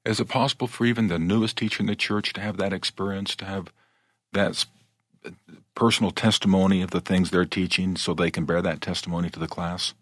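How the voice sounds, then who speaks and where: A man's voice: deep voice, steady tone, brisk, no echo, studio quality. A man hosting a panel discussion, asking brief courteous questions and handing over to guests. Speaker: host.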